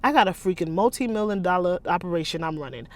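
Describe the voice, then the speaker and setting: Urban-fiction female hustler read by a woman, American, sharp-tongued, fearless, scornful and commanding. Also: lowish voice, expressive, fast, natural voice. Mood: threatening. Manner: scornful, bossy, blunt.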